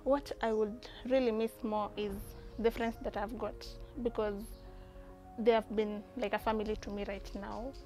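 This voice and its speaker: A young woman talks in an earnest, steady way, with a notably flat, even pitch line. She is recorded outdoors on a lapel mic with faint music beneath her. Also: moderate pace. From South Sudan. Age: young adult. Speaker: coding student. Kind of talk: interview testimonial. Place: outdoor terrace.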